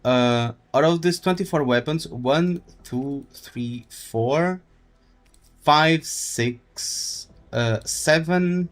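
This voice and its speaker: A man live streaming a game, chatting casually in a halting, rambling way as he thinks aloud. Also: fairly high pitch. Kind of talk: casual gaming stream chat.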